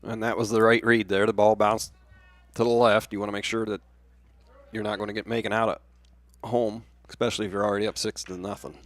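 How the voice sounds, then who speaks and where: Man, baseball color commentator, rapid pace, lowish pitch, live game analysis. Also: flat delivery, no room sound.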